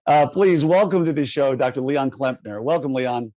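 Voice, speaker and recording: A man in his fifties hosts an easygoing interview, with traces of a New York accent and plenty of jokes and fillers. His voice is mid-pitched and recorded dry and close. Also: moderate pace, mellow, no room sound. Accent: faded New York. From Queens and Long Island, New York. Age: 50s. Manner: friendly, joking, laughs often, many fillers. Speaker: podcast host. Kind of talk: casual podcast interview.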